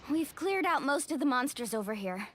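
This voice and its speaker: A woman's voice: high voice, very animated, moderate pace, a little hiss or hum. A woman voicing a brave, spirited young warrior in a video game, upbeat, confident and encouraging.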